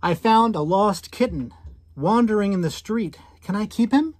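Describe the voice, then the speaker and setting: A man's voice: sits high, lively intonation, normal speed, a little mellow, dry recording, pitched above the performer's own voice. An eager little storybook boy, read by a man, pleads with hope. His questions rise upward at the end. The recording is dry and close. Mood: hopeful, pleading. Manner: hopeful rising questions.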